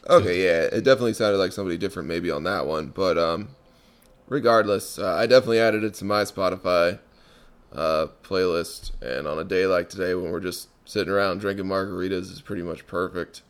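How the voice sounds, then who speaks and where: A man hosting a podcast in a relaxed, casual interview chat, often pausing on ums and restarting his sentences. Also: low-pitched, smooth. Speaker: podcast host.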